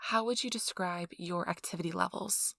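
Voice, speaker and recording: Woman playing a low, creaky-voiced ASMR intake interviewer. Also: moderate pace, sharp tone, no room sound, clean studio sound, exaggerated voice. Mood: calm, businesslike. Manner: polite, explanatory, informal office patter. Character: friendly, chatty female intake interviewer at a vampire blood donation center. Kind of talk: ASMR role-play, soft personal-attention interview.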